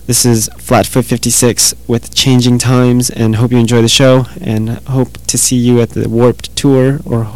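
Hoarse, flat-voiced male radio DJ. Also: medium pitch, measured pace, hardly any pauses, low-quality recording. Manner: rambling, easygoing. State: losing his voice. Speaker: radio show host. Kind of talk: casual radio DJ chat.

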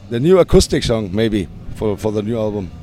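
A man with a German accent, a punk band singer, answering interview questions hesitantly with frequent restarts and soft laughs. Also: fairly low pitch, sing-song, brisk.

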